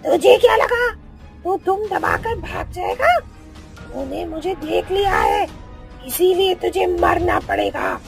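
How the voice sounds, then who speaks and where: A woman voicing a fearsome wounded witch in a cartoon, drawing her words out and shouting threats. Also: very high voice, huge pitch swings, gravelly, soft background music, exaggerated voice. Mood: menacing. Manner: slow, drawn-out sing-song and shouted threats. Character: fearsome wounded female witch, cackling and menacing.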